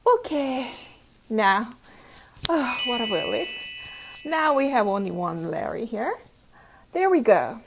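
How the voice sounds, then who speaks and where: A woman with a high voice reads a picture book aloud as a teacher of young children. Her delivery is slow and swings widely in pitch. She is playful, asks the listeners questions and repeats phrases for them. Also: noisy background. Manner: animated, playful, with exclamations and sound effects.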